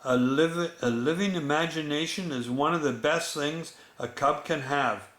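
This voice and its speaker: Man reading as slow-talking, patient papa bear. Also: fairly high pitch, a bit bright. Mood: earnest, encouraging. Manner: patient, instructive. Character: calm fatherly papa bear, reassuring and explaining. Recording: echoey room.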